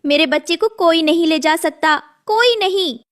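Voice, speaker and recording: A woman's voice: very high-pitched, normal speed, a bit bright, voice pushed up. A woman voicing a devoted, resolute mother bird in a cartoon, earnest and heartfelt. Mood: fierce, protective.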